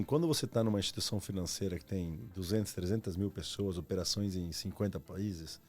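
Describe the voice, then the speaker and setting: A man's voice: fairly low pitch, dark tone. A man, telling his story casually and reflectively as a podcast guest, in slangy, informal speech. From Argentina. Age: 30s. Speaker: podcast guest, former marketing executive.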